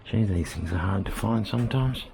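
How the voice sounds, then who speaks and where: Deep-voiced Australian man touring. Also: moderate pace, gravelly, mellow, slight background noise. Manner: chatty, thinking aloud with fillers. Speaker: travel vlogger. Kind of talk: casual walking tour commentary. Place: outdoors at a historic village.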